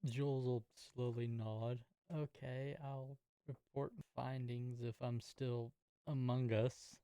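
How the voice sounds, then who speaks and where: Mellow, creaky man playing a tabletop game. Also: medium pitch, little pitch movement, slow pace, dry recording, rough recording. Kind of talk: casual in-character table talk and narration.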